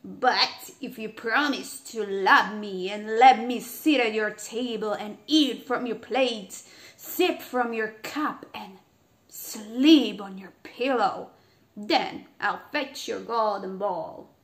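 A woman voicing a polite male talking frog in a storybook reading, slow and coaxing. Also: mid-range voice, close to an everyday voice.

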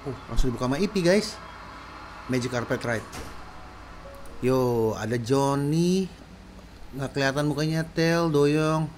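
A man in his thirties giving casual, excitable reaction commentary as a sound engineer, full of slang exclamations, at home in a small room. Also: mid-range voice, a little slow, pauses often. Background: faint music playing.

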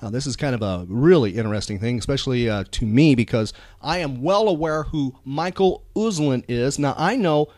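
A man's voice: mid-range voice, lively intonation, moderate pace, few pauses, a little mellow, dry recording, studio quality. A man hosting a chatty pop culture podcast, talking with enthusiasm and emphasis, often repeating phrases for effect. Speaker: podcast host.

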